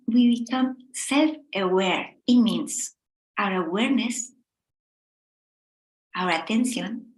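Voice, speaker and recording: A middle-aged woman from Spain with a Spanish accent, gently leading a meditation talk in short, unhurried phrases with pauses. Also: on the high side, roomy sound, poor audio. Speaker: meditation teacher.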